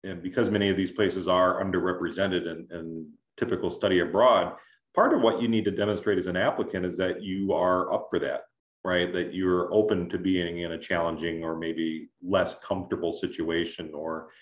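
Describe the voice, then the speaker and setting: A man explaining things as an adviser in an online information session, in a measured, conversational way with check-in questions. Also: low voice, steady tone. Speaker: scholarship adviser, presenter. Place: indoors on a video call. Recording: roomy, dull video-call audio.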